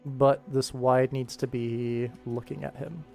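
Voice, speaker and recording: A man's voice: medium pitch, level tone, takes it slowly, gravelly, darkish tone, faint music behind. A man hosting a casual work livestream as an animation director, explaining informally and thinking aloud. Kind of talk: casual livestream commentary while working.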